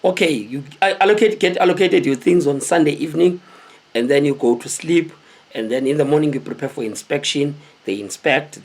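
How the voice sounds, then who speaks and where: Man, South African accent, mid-pitched, husky, casual storyteller, animated, conversational. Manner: animated, conversational, with rhetorical questions to viewers. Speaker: host telling stories of his military service. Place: small indoor room with cloth backdrop.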